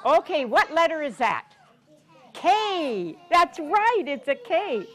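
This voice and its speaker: Older American woman, animated high-pitched story time reader. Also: slow pace. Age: 60s. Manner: teacherly, sing-song, prompting with questions. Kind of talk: reading a picture book aloud to young children, interactive. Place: carpeted library program room, indoors.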